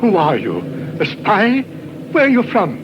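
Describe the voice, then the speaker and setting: An actor playing an excitable, suspicious local passenger in a 1950s science fiction radio drama. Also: male voice, sits high, a little slow, background noise, heavily acted. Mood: suspicious, excited. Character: excitable, suspicious Earthman passenger wary of outsiders. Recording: dull old radio recording, loud crowd behind.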